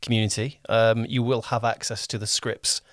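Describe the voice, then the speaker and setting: A man's voice: lowish voice, level tone, normal speed, no room sound. Rambling man hosting, dry sound. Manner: rambling, rephrases questions repeatedly. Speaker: podcast host and series producer. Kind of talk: casual interview.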